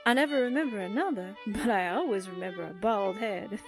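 A woman's voice: mid-range voice, animated, moderate pace, music in the background, close to an everyday voice. A woman playing a perky, scatterbrained female sidekick in a radio drama, with a comic manner. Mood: cheerful. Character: chirpy, scatterbrained female companion.

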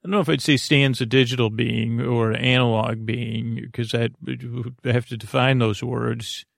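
A man with a creaky voice hosting a bedtime podcast, talking in a soothing, meandering, halting way and often breaking off mid-thought. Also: medium pitch, fairly even intonation, no room sound, clean studio sound. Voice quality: creaky, gravelly. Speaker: podcast host. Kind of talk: rambling bedtime podcast monologue.

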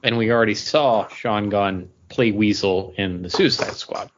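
A man's voice: on the low side, a little slow. A man co-hosting a podcast in casual chat. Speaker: podcast co-host. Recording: dull lo-fi audio with faint noise.

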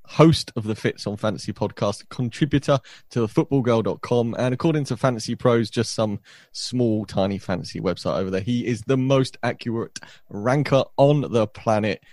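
A man with a mid-range voice co-hosting a sports podcast, teasing his partner and quick to laugh. The sound is dry and close. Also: mid-range voice, a little mellow, dry recording. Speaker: podcast co-host. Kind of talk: casual chat.

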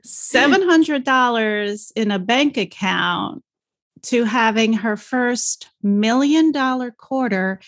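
A woman hosting an interview podcast, relaxed and welcoming as she introduces her guest. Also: a little high, slow, dry recording. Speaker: podcast host.

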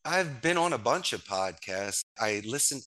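Bright-voiced man, lively storyteller. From Northeast Philadelphia, USA. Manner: animated, storytelling, quick jumps in pitch when amused. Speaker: podcast guest, business owner. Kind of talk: casual interview talk.

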